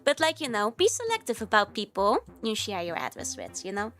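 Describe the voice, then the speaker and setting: A woman's voice: mid-range voice, wildly swooping pitch, fairly quick, a bit bright. A young woman with a Dutch accent chatting casually on a livestream, giggly and rambling with lots of fillers. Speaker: VTuber streamer.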